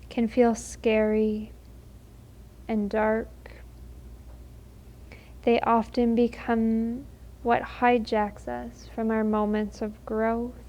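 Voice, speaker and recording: A woman leading a guided meditation in a gentle, unhurried, soothing manner with long pauses. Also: a little high.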